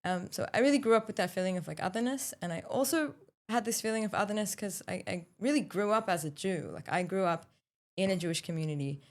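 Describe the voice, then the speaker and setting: A young woman, co-hosting a podcast and talking thoughtfully with many pauses and restarts. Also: medium pitch. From South Africa, raised in Australia. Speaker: podcast co-host. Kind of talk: casual podcast conversation.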